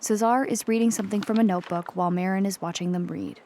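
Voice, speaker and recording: A woman's voice: medium pitch, fairly even intonation, fast talker, warm tone, slight background noise. A woman narrator reading stage directions for an audio play. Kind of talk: scripted narration.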